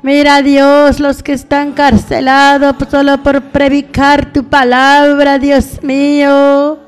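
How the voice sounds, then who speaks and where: A woman with a very high voice leads a fervent intercessory prayer. She pleads slowly and evenly, breaking her prayer into short repeated appeals to God. Faint music plays behind her. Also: monotone. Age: middle-aged or older. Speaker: evangelical preacher praying on a radio programme.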